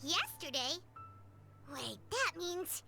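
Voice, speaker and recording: Tiny, very high-pitched eager fairy sidekick. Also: female voice, sing-song, takes it slowly, creaky, bright, forceful tone, faint music behind, exaggerated voice. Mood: surprised. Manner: eager, chatty, earnest. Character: small excitable childlike fairy companion, high-pitched. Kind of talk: video game character acting.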